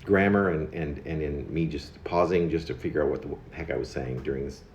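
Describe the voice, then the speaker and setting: A man in his fifties reading his own confessional poem aloud, in a halting way with pauses mid-phrase and stressed words. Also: rumbling low, a little hiss or hum. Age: 50s. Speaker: poet. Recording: dull lo-fi recording in echoey room.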